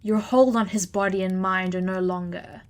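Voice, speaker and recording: A woman's voice: mid-range voice, fairly even intonation, normal speed, lightly acted. A woman playing a wrathful, regal goddess who declaims imperiously in an audio drama. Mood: commanding. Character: wrathful regal female deity, Queen of Vengeance.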